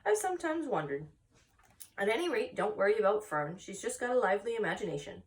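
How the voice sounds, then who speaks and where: A woman's voice: medium pitch, very animated, fast, lightly acted. A woman voicing an easygoing, amused, reassuring farm father in a storybook read aloud, slightly distant from the mic.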